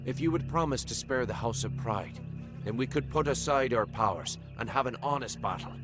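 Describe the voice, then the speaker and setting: An actor playing a proud immortal fae knight lord in a fantasy video game, grave, formal and stately. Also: male voice, medium pitch, a little fast, over music, lightly acted. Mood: resolute.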